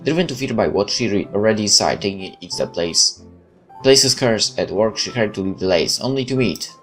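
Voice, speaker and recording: A woman's voice: extremely low pitch, expressive, moderate pace, soft background music. A woman, a native Polish speaker, reading game narration aloud and commenting casually as a streamer, often stumbling and correcting herself. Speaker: let's play streamer.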